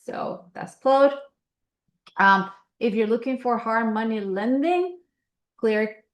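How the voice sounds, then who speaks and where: A woman's voice: medium pitch, fairly bright tone, roomy sound. A woman with a Colombian accent hosting announcements at an online meeting, talking casually with many fillers and an upbeat promoting tone. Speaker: investor association host.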